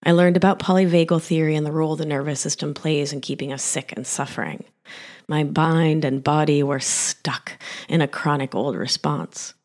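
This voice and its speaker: A woman in her forties tells her life story in a slow, reflective way, and her phrases often fade into near-whispers. She was born in Argentina. Her voice sits low and dusky. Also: a little mellow. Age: 40s. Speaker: health practitioner. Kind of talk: scripted personal narration.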